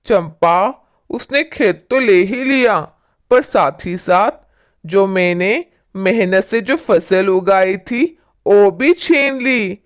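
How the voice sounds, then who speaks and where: Poor humble farmer voiced by a woman: slow, sorrowful, pleading delivery for a storybook moral tale. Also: mid-range voice, lightly acted. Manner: pleading, lamenting. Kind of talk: storybook character acting.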